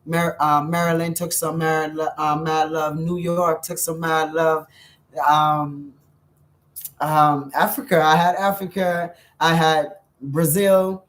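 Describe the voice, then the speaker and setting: A Bahamian man vlogging casually to his viewers, effusive, full of thanks and shout-outs, with frequent ums. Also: a little high, flat delivery, takes it slowly. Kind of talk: casual direct-to-camera vlog.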